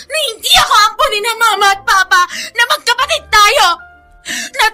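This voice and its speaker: Shrill, spiteful young heiress. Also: female voice, moderate pace, bright, forceful tone, faint music behind, full character voice. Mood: furious, tearful. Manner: melodramatic, demanding, petulant. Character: spiteful, volatile young heiress raging over being adopted. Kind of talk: Filipino radio drama acting.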